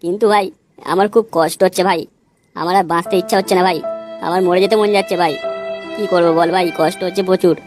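A man's voice: high-pitched, steady tone, quick pace, music in the background, lightly acted. A man voicing a gloomy young bachelor in a comedy cartoon, complaining in slangy talk. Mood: despairing. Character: luckless young bachelor man, whiny, gloomy, desperate to marry.

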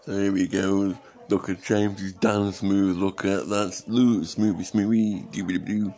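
A young man from England talks casually to a close-up webcam in a small dim living room, his voice worn and fading. He mumbles along, cracks jokes and narrates his own antics in the third person, in a somewhat low register. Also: level tone, a little slow, darkish tone. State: losing his voice. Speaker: vlogger. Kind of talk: casual rambling self-commentary. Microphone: webcam or phone camera at close range.

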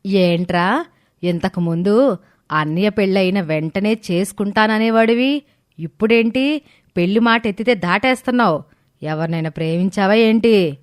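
A woman's voice: mid-range voice, normal speed, long pauses, natural voice. A woman voicing a caring, middle-aged mother in a cartoon family drama, earnest and direct. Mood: probing.

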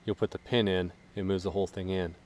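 A man in his thirties giving a relaxed, casual walkthrough of equipment outdoors in a wooded yard, filming himself. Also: low voice, fast talker. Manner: relaxed, explanatory, joking. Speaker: vlogger.

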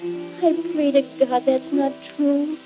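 Woman as a slow, high-voiced pleading child. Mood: earnest, pleading. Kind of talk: sentimental spoken recitation on a country record. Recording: dull vintage 78 rpm record. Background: music.

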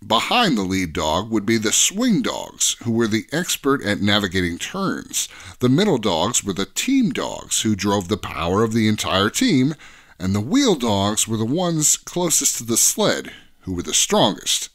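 Male podcast guest expert, lower voice, very animated intonation, articulate storyteller for informative history segments. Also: measured pace. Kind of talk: informative scripted-sounding podcast explanation.

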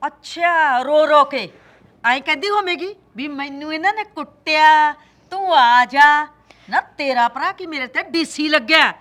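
A sharp, bright-voiced Punjabi mother-in-law played by a woman who taunts and shouts at her daughter-in-law. Her voice is high and forceful, full of mockery. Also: moderate pace, hardly any pauses. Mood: mocking. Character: harsh scolding mother-in-law, older woman.

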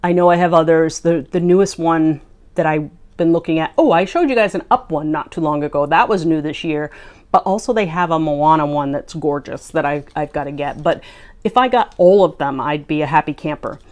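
A woman's voice: sits low, a little fast, a little mellow. Chatty woman showing a haul. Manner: chatty, thinks aloud with fillers while handling items. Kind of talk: casual chat to camera. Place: home craft room, indoors.

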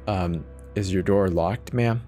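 A man playing a steady, reassuring emergency dispatcher in a horror audio drama, urging the caller along. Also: low voice, slow pace, soft background music. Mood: calm, reassuring. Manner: calm, reassuring, soft-spoken urging.

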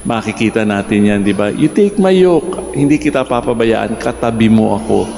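Older Filipino priest, gravelly voice in a church. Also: male voice, lowish voice, expressive, normal speed, dark tone, slight background noise. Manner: mixes Tagalog and English, asks the congregation rhetorical questions. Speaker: priest giving a homily. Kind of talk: sermon. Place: large church, in front of the altar. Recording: echoey church hall reverb.

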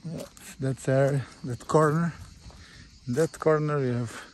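A man with a Portuguese accent giving an informal property walkthrough as an estate agent, in halting, simple English with short phrases. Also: a little high, fairly even intonation, darkish tone. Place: outdoors on a rural farm. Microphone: handheld phone or camera held by speaker. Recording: outdoor handheld recording with faint background noise.

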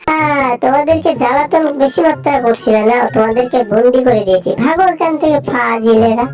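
Panicky, bossy cartoon animal played by a woman, very high voice, Bangladeshi accent, exclamatory and repetitive, music underneath. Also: fast, darkish tone, lightly acted. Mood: bossy scolding. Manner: exclamatory, repetitive wailing and pleading. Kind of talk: amateur cartoon dub.

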